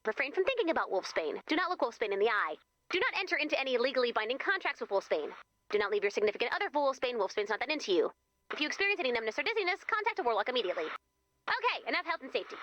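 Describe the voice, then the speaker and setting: A woman's voice: sits high, sing-song, very fast, fairly bright tone, no echo, highs cut off. A woman voicing a perky, sassy robot assistant with sarcastic, quippy delivery in a video game. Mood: grudging, deadpan. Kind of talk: video game character voice lines.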